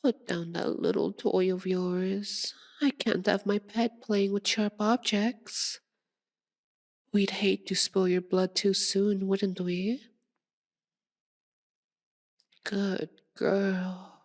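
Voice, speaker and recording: A woman's voice: medium pitch, fairly even intonation, takes it slowly. A woman voicing a sadistic, possessive female vampire in an intimate ASMR roleplay, purring and domineering, often dropping toward a whisper. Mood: smug, commanding.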